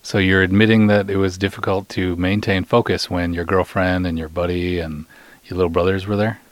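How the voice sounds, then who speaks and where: Middle aged guy hosting a podcast, really low voice, dry sound, chatty and kinda teasing. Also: level tone, fairly quick, no echo. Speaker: podcast host and interviewer. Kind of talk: casual hosting and light interview.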